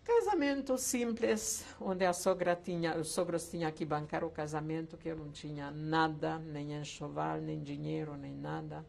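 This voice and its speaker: Older woman, low voice, gravelly, hesitant storytelling, faint hiss. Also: darkish tone. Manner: slow reflective storytelling with hesitant fillers. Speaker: Holocaust survivor interviewee. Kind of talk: oral history interview.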